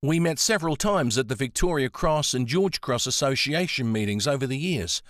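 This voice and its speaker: A man voicing a gentle, determined older woman with a soft Antipodean accent, coaxing and soothing. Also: mid-range voice, lively intonation, moderate pace, no room sound, studio quality, voice pushed up, lightly acted. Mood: friendly. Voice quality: soft-spoken.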